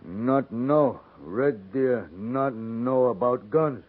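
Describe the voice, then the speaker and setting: Proud guarded native chief, slow broken english, old muffled radio western sound. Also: male voice, mid-range voice. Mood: guarded, resentful. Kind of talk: 1950s radio western acting. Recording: muffled 1950s radio recording.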